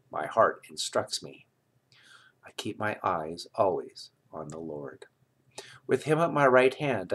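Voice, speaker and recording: Slow, low-voiced man reading scripture. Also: a bit bright. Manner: solemn, deliberate, measured phrasing with pauses. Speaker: scripture reader. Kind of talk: scripted Bible reading, recited aloud.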